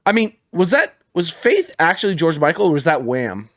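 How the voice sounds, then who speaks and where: A man chatting on a gaming podcast, his voice a touch raspy and his pitch rising and falling a lot. He swears freely and laughs easily, and the sound is dry and close with no room echo. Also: sing-song, normal speed, fairly bright tone. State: slightly raspy from singing. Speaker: podcast co-host. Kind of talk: casual chat.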